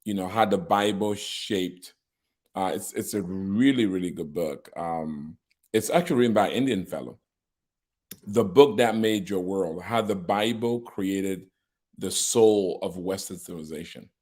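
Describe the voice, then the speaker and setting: A man's voice: deep voice, measured pace, a little mellow. A man hosting a podcast who talks casually, thinking aloud in a reflective way and laughing often. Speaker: podcast host, organization leader.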